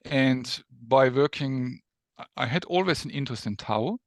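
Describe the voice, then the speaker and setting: A man's voice: mid-range voice, moderate pace, no room sound, clean studio sound. A mature man from Germany with a German accent, speaking as an academic guest explaining things in an interview. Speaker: guest professor, neuroscience researcher.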